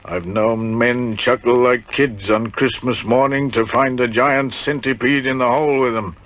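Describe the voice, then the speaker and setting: A brooding old ex-convict played by a man tells vivid tales in a radio play. His voice sits at an ordinary male pitch, and the vintage recording is dull and muffled. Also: fairly even intonation, measured pace, fairly bright tone, close to an everyday voice. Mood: grimly reminiscing. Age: older. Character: old male ex-convict haunted by Devil's Island escape. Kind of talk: radio drama acting.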